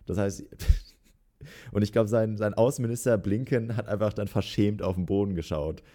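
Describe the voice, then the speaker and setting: A man's voice: fairly low pitch, steady tone, normal speed, mellow. A young man co-hosting a casual political chat podcast, joking and laughing often. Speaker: podcast co-host.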